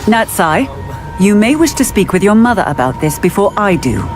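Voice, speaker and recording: A woman's voice: medium pitch, big pitch swings, moderate pace, music in the background, natural voice. A woman playing a protective, authoritative wizard police officer in a video game, with formal speech. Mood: admonishing.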